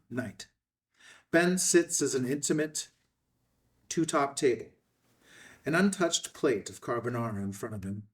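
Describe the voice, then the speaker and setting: A man reading stage directions as scripted narration at a screenplay table read. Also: medium pitch. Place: indoor filmed table read. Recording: slightly distant room microphone.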